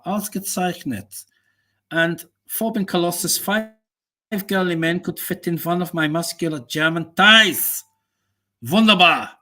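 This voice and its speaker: A man voicing a boastful, bossy male German professor, rambling slowly in a mock German accent on a comic live stream. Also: sits high, pushed and bright, heavily acted. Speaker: guest.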